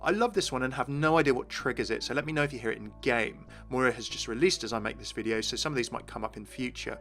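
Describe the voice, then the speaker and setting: A man's voice: medium pitch, fast talker, a bit bright. A man hosting a gaming video, narrating in an enthusiastic explainer style. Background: music.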